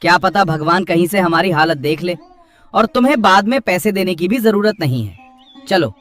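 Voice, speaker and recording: A man's voice: high-pitched, lively intonation, moderate pace, a bit bright. A man playing a plain-spoken, kindly village boatman in a cartoon story, admonishing in a humane way. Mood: reluctant, relenting. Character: kind, hard-working village boatman, grumbling but humane. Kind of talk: dramatised cartoon story dialogue.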